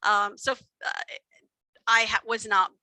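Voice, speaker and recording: A woman with a Texan accent presenting a workshop in an easy, chatty way, with lots of fillers and self-deprecating asides. Her voice is very bright and forward, recorded close and dry with no room sound. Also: mid-range voice, little pitch movement, slow, dry recording. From Texas. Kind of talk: conversational lecture with slides.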